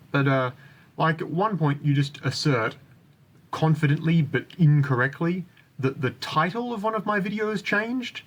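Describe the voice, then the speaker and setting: A man delivering critical, articulate scripted commentary as a video creator. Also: a little high.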